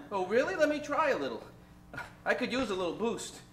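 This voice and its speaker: A man's voice: high-pitched, big pitch swings, normal speed, fairly bright tone, reverberant, a little hiss or hum, poor audio. A man playing a down-on-his-luck fellow in an old-time radio comedy, hammy and full of period slang. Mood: hopeful. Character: grumbling, down-on-his-luck man trying to buck up.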